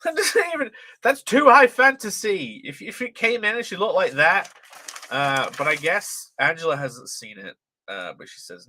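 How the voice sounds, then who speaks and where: A man's voice: fairly high pitch, bright, forceful tone, dry recording. A young man from Britain with a light British, transatlantic-tinged accent, chatting casually on a livestream, reading chat aloud, swearing freely and laughing often.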